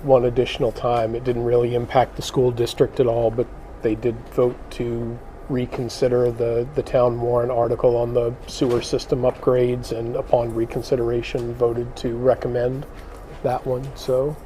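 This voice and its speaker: Male chair, flat and mellow, brisk, runs the agenda. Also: medium pitch. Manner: brisk, plain procedural. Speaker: school board chair. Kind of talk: chairing a meeting. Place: meeting room. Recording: distant mic, noise.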